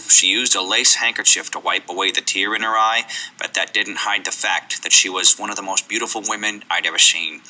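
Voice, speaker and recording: A man playing a world-weary, hard-boiled private detective in a deadpan, brooding noir radio drama style. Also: lowish voice, pitched below the performer's own voice, poor audio.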